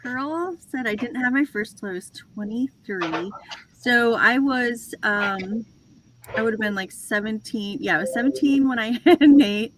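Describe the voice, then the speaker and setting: A woman's voice: on the high side, unhurried, noisy background. A woman in her forties hosting a casual livestream chat, talkative and self-deprecating, laughing often as she tells stories. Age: 40s. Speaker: host of a reseller livestream.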